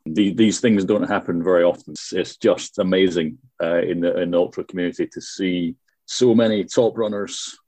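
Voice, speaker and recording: Low-voiced podcast host, dry sound. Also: male voice, fairly even intonation, normal speed, a little mellow, no room sound. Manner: chatty, stumbling restarts, laughs often. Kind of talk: casual podcast interview.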